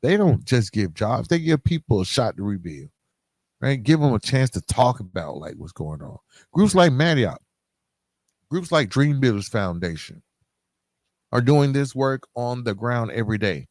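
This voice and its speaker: A man's voice: mid-range voice, very animated, normal speed, a little mellow, dry recording. A middle-aged man hosting a podcast, talking informally and directly to his listeners in a conversational solo monologue. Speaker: podcast host.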